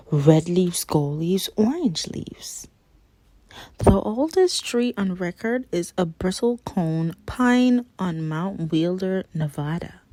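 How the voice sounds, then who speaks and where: A woman reading a children's nonfiction picture book aloud in a measured, expressive way. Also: lowish voice, no echo.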